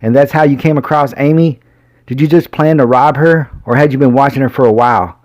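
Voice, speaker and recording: A man doing a gruff, taunting old sheriff. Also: mid-range voice, normal speed, dark tone, no echo, voice pushed up, lightly acted. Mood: accusing. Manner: taunting, accusing questions. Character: gruff older male small-town sheriff, menacing interrogator.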